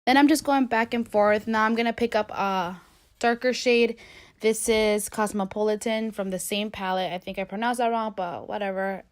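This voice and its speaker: A woman's voice: mid-range voice, steady tone, moderate pace. A young woman in her twenties, congested from a cold, chatting through a makeup tutorial in her bedroom with plenty of fillers. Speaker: beauty vlogger. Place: bedroom at home.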